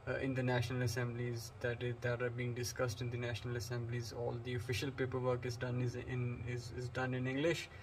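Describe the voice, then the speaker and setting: Guy from pakistan teaching english, flat monotone, lots of uhs and restarts, bit of hiss behind. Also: medium pitch, brisk, hardly any pauses. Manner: rambling, hesitant, many fillers and restarts; flat delivery. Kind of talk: unscripted lecture.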